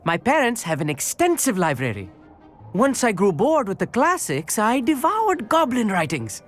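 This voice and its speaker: Eager, animated schoolboy wizard. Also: male voice, high-pitched, moderate pace. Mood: excited, proud. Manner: earnest, polite, slightly pompous. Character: eager, bookish, polite teenage wizard schoolboy. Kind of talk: fantasy video game dialogue. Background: faint background music.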